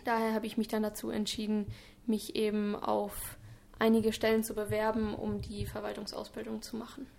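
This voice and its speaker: A woman's voice: mid-range voice, completely flat delivery, normal speed, creaky, darkish tone. A woman of twenty-one, a trainee, answering interview questions earnestly and at length, with some fillers. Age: 20s. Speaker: trainee in public administration.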